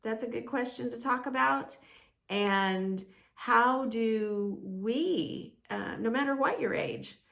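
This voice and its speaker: A woman storyteller for a children's ministry, gently narrating a storybook and talking to young listeners. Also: on the high side, gravelly.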